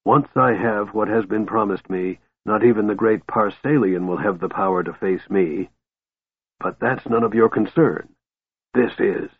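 A man voicing a frail, cold male mage in a storybook, with a soft, shattered whisper and calm, deliberate menace. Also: on the low side, breathy edge, phone-quality sound. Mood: scornful.

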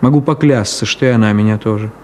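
A man's voice: fairly low pitch, fast talker, a little mellow, a little hiss or hum, close to an everyday voice. An actor playing a cynical, detached middle-aged man in a 1970s Soviet radio play, with an ironic, clipped theatrical delivery. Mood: dismissive, ironic.

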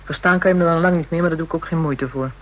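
An actress playing a hardened, taunting woman in a radio drama, with a husky voice, a Dutch accent and brash, slangy speech. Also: sits low, racing, dull audio. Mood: scornful, chatty. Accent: Dutch (Netherlands). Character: hardened, jealous, taunting woman dealing in kinky services.

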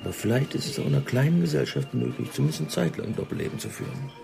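An actor playing a brooding, persistent police investigator in a German radio drama, measured and reflective in manner. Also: male voice, medium pitch, vocal fry, over music.

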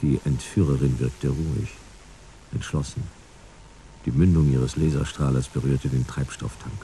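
An actor playing a world-weary detective, narrating his case in dry, terse, deadpan first person. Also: extremely low pitch, normal speed, slight background noise, poor audio. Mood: dry, detached. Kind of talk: scripted first-person noir narration in radio play.

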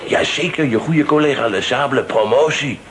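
A man's voice: medium pitch, moderate pace, natural voice. A man playing a jovial, needling office clerk and family man in a radio play. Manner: teasing, jovial. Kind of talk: Dutch radio play acting. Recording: noisy old radio recording with clicks.

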